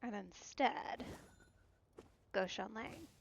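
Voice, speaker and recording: Unhurried, slightly creaky woman game streamer. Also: lively intonation, a little hiss or hum. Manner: low-key, musing, thinking aloud. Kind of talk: casual live game commentary. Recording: game music behind the voice. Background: video game music.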